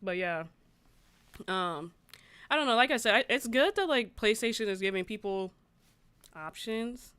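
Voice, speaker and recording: A woman's voice: on the high side, lively intonation, unhurried, pauses often, pushed and bright, clean tone, no echo. A woman hosting a gaming livestream, chatting informally with viewers and reading news aloud in a conversational way. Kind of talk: casual livestream chat, reading articles aloud and reacting.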